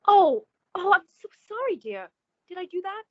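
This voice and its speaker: High, swooping, genteel radio-drama lady played by a woman. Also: very animated, moderate pace, highs cut off, very noisy. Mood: alarmed. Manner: polite, hesitant, theatrical. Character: genteel, sociable woman, uneasy concerned friend of the spinster. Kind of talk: old-time radio suspense acting.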